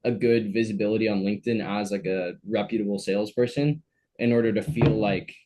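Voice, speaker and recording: A man's voice: fairly low pitch, fairly even intonation, fairly quick, dark tone. A young man co-hosting a podcast, asking questions casually and often restarting his phrases. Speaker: podcast co-host.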